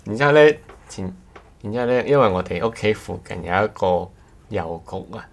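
Low-voiced man chatting. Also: expressive, moderate pace, creaky. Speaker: podcast co-host. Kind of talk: casual podcast chat.

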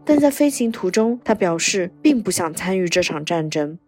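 A woman's voice: fairly low pitch, expressive, normal speed, a little mellow, soft background music. A woman hosting a podcast and narrating a scripted story recap.

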